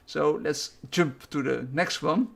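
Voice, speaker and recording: A man's voice: on the high side, slow pace, creaky. A man with a Dutch accent interviewing a guest, asking questions hesitantly with many fillers. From Netherlands.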